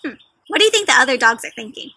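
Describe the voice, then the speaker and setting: A young adult woman hosting an interactive picture book read-aloud, questioning young children directly in an animated, teacherly way. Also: high voice, very fast, slightly rough, dry recording. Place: outdoors in a leafy garden.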